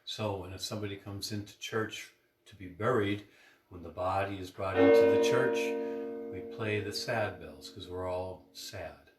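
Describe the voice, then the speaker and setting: A man's voice: on the low side, fairly even intonation, measured pace. A man hosting as a priest, teaching in an informal, conversational way with plain, simple explanations. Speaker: priest, storytime host. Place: inside a church, roomy. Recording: echoey room, distant mic, loud music behind.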